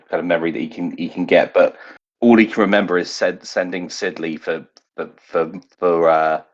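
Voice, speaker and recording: A man playing a tabletop roleplaying game, narrating his character in a halting way and often reworking his phrases. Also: deep voice, breathy edge. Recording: dull, lo-fi recording.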